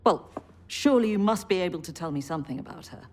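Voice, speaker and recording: An actress playing a stern, skeptical intelligence chief in a video game cutscene, probing and authoritative. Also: female voice, on the low side, expressive, fast talker, close to an everyday voice. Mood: skeptical, firm. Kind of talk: cinematic video game acting.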